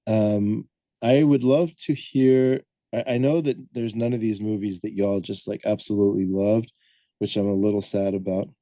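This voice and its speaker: A man co-hosting a podcast, talking casually and loosely with many pauses and filler sounds as he lays out background he has looked up. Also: lowish voice, fairly even intonation, dark tone, no room sound. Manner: rambling, hesitant, lots of "um" and self-corrections. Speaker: podcast co-host presenting the film research.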